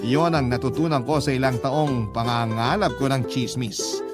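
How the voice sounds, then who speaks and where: A man's voice: medium pitch, moderate pace. A man giving scripted first-person narration for a radio drama, confiding his story directly to the host, with music underneath.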